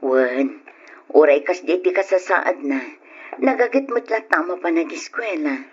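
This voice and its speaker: A woman playing an anxious, tender middle-aged mother of a sickly son in a radio drama, with a husky voice and an Ilocano accent. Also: lowish voice, animated, a little slow. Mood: worried, resigned. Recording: muffled old radio recording.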